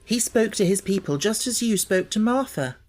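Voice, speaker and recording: Man voicing a forthright young girl, raised pitch. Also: animated, moderate pace. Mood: thoughtful.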